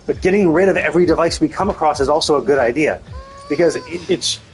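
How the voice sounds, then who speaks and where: A man with a slightly high voice streams a game. He rambles critically in a casual way and repeats points for emphasis, with music playing behind him. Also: fast talker, rough recording. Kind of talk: casual live game commentary.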